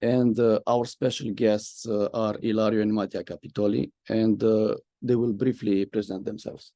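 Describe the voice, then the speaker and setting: Low-voiced man hosting an online session. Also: little pitch movement, moderate pace, warm tone, no room sound, studio quality. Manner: frequent "uh" fillers, friendly moderator. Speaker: host, media and communication lead. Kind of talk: webinar hosting.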